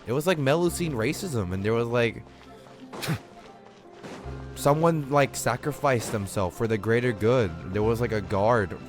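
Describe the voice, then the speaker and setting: A man's voice: medium pitch, a little slow, long pauses, over music. A man livestreaming a game with casual, rambling, slangy commentary. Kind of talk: casual gameplay commentary to chat.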